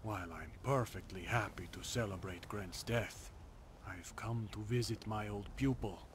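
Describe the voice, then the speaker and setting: A man's voice: lowish voice, unhurried, background noise, close to an everyday voice. A man playing a sober, dutiful older soldier in a video game, speaking formally and with measure. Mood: grave, reflective. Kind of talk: video game character dialogue.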